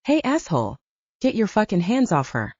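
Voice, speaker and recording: A woman's voice: mid-range voice, wildly swooping pitch, moderate pace, darkish tone, husky, no echo, muffled recording, heavily acted. Woman voicing a brash, defiant werewolf soldier guy. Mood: angry. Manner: brash, profane. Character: hot-headed, defiant male werewolf soldier.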